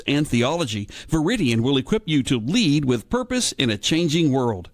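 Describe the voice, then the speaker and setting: Guy reading a promo script, slightly high voice, really animated delivery. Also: dry recording. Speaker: advertisement announcer.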